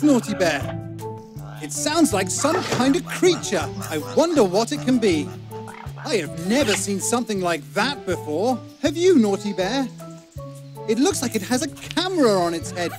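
A man playing a cheery, mock-kindly British narrator of a children's storybook video game, theatrical and sweetly condescending. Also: high-pitched. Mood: bright wonder. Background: music.

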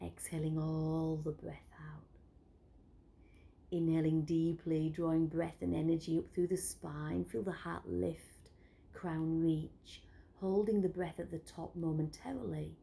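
A woman guides a breathing meditation at a very slow, unhurried pace. Her voice is dark and mellow with a gravelly edge, often falling to a hushed whisper. Faint ambient noise sits behind her. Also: deep voice. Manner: slow, soft, soothing instructions with frequent whispered phrases.

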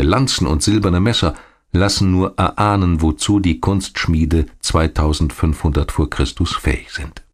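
Man, deep low voice, documentary narrator, scripted reading, measured and deliberate delivery.

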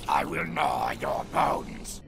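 Very gravelly reptile warrior. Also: male voice, mid-range voice, wildly swooping pitch, music in the background, heavily acted. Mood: predatory. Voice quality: very gravelly, creaky. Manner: predatory, slow taunting. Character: sinister hungry reptile-man warrior. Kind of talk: video game voice acting.

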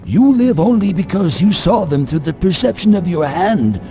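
An actor playing a fussy, bossy scholar of ancient lore in a video game, theatrical and scolding. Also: male voice, high voice, animated, moderate pace, long reverb tail. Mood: solemn instruction. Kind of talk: video game cutscene acting. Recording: music behind the voice.

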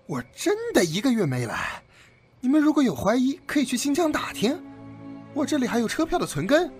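A man's voice: very high-pitched, very animated, brisk, soft background music, full character voice. An actor playing a prickly, stubborn, indignant young man in an audio drama. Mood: defensive.

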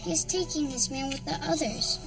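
An actress playing a young woman who reads a jungle story aloud, lively with the animal voices, and recalls her childhood. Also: sits high, brisk, lightly acted. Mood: storytelling. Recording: film audio from a distance, music behind.

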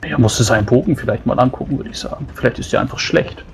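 A man's voice: mid-range voice, fast talker, vocal fry, slight background noise, close to an everyday voice. A man playing a chatty, good-natured dwarf adventurer in a tabletop roleplay, rambling and apologetic. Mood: rambling, casual. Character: chatty loyal male dwarf adventurer giving testimony. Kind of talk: improvised in-character tabletop roleplay.

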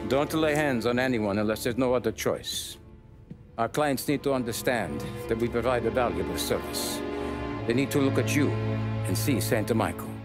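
Man playing measured mob adviser, medium pitch. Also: lightly acted. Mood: earnest, instructive. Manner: measured, instructive. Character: calm, principled male mob adviser. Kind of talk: cinematic video game acting. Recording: loud music under the voice.

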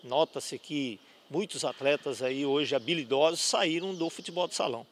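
A man's voice: a little high, normal speed, slight background noise. A middle-aged man, organizer of a youth futsal cup, explaining the rules into a handheld mic inside a sports gym. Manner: explanatory, with fillers. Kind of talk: interview. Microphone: handheld reporter mic.

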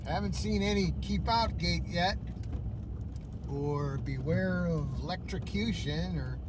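A man's voice: high-pitched, measured pace. A man giving casual running commentary as a camping vlogger, thinking aloud in short phrases. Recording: noisy, car and vehicle sounds.

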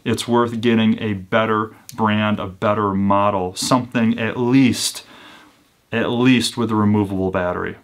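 Low-voiced man in casual review mode. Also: fairly even intonation, a little slow. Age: 30s. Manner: informal, conversational, checks in with "right?". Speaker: host of a preparedness gear channel. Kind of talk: casual product review to camera. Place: small indoor room with built-in shelves.

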